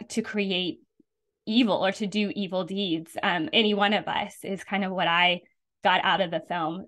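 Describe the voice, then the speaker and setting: A woman's voice: lowish voice, a bit bright. A woman co-hosting a podcast asks rambling, conversational questions. She strings her thoughts together loosely, with fillers. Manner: chatty, loose, with fillers like "you know". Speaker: podcast co-host. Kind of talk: casual interview questions.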